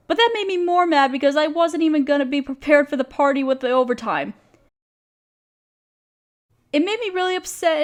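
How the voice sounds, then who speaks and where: A woman playing an overworked, apologetic young woman confiding hesitantly in a close friend in an intimate audio roleplay. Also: high-pitched. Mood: downcast, ashamed. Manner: self-deprecating, hesitant, casual. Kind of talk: roleplay audio drama, intimate one-sided conversation.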